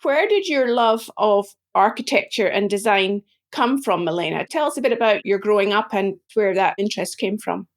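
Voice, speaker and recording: A woman hosting a podcast, introducing her guest and asking gentle, open questions. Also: mid-range voice, animated, moderate pace, hardly any pauses. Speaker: podcast host. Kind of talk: interview hosting.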